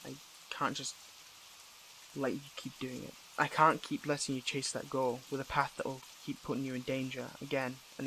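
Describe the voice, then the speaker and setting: Man acting gravelly, conflicted, tender superhero. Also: medium pitch, fairly bright tone, a little hiss or hum, natural voice, low-quality recording. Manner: halting, earnest, emotional delivery. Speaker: voice actor in an audio roleplay. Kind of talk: intimate scripted audio roleplay acting.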